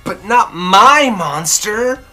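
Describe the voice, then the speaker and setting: A woman storyteller reading a children's picture book aloud expressively, with dramatic, drawn-out emphasis. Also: medium pitch, expressive, pushed and bright, faint music behind, rough recording.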